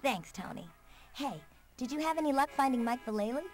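Perky young American skater girl, high voice, animated pitch, slangy, video game cutscene, music behind. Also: brisk. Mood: upbeat. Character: upbeat young skater girl and comic artist.

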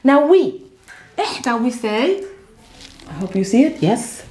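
A woman in her thirties, a native Arabic speaker, teaching a lively whiteboard lesson. She repeats words slowly and stresses them. She speaks into a lapel mic in a bright indoor room. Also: a little high.

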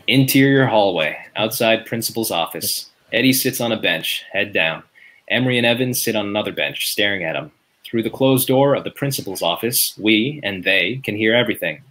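A man's voice: on the low side, animated, moderate pace, a bit bright, rough recording. A man reading scripted stage directions as narrator at a table read.

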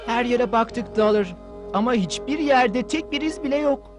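A woman's voice: on the high side, expressive, moderate pace, close to an everyday voice. A woman voicing a plucky, earnest young rich boy hero in a dubbed cartoon. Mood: determined. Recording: music behind the voice.

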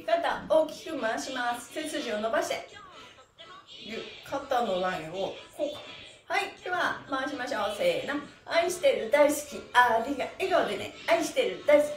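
A middle-aged woman cheerfully leading a stretching lesson, calling out encouragement and rhythmic chanted counts as she moves. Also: a little high. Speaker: stretch exercise instructor and host. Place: small bare-walled room indoors. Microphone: camera a few metres away. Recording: roomy echo, distant mic, music.

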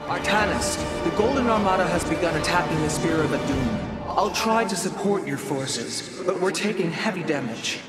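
An actor playing a loyal alien ship officer in a video game, delivering formal, urgent reports. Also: male voice, a little high, animated, moderate pace, hardly any pauses, close to an everyday voice. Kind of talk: dramatic video game voice acting. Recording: voice under very loud game music.

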